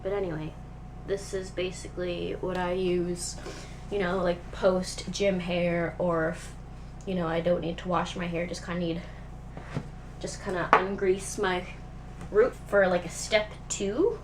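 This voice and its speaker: A woman's voice: fairly low pitch, a little slow. Young woman vlogging, stuffed-up nasal voice from a cold, rambly, lots of um and like, noisy background hiss. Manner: rambling, filler-heavy, chatty. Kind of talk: casual chat. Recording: steady background noise.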